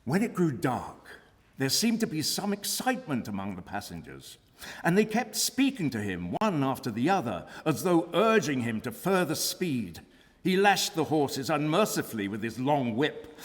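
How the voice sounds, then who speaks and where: A man's voice: a little high, fairly bright tone. A man reading a gothic novel aloud as dramatic literary narration. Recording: reverberant hall at a live reading.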